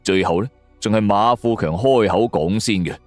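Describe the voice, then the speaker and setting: A man's voice: lowish voice, normal speed, darkish tone, no echo. A man with a Hong Kong Cantonese accent narrating a detective story dramatically in the first person. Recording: background music under voice. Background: music.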